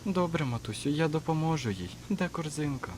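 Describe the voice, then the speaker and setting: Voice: mid-range voice, lively intonation, fairly quick, close to an everyday voice. Performer as timid little girl, noisy horror audio. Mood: obedient. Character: timid, obedient little girl, innocent and anxious. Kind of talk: amateur analog horror voice acting.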